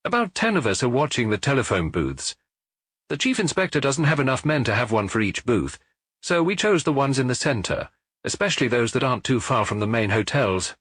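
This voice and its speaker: A man's voice: medium pitch, sing-song, a little fast, fairly bright tone, dry recording. A man voicing an earnest, apologetic young policeman eagerly reporting in an audiobook.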